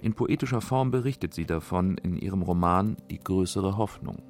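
A man's voice: sits low, normal speed, a little mellow. A man narrating a scripted radio feature as its documentary narrator.

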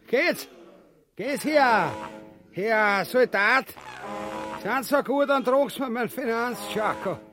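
A man's voice: extremely high pitch, expressive, slow, pauses often, music in the background, exaggerated voice. An actor playing a vulgar, cowardly, bombastic king in a grotesque comedy, blustering and whining with an Austrian accent. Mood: bossy complaining. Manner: blustering, whining, swooping between mutters and bellows. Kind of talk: grotesque comic radio-play acting.

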